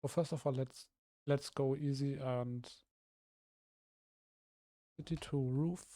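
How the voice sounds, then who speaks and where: A young man from Germany, a streamer with a rough, slightly hoarse voice, thinking aloud hesitantly with many restarts while he builds in a game. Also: medium pitch, steady tone, slow pace, dark tone, no room sound, studio quality. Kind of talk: casual live-stream commentary while building.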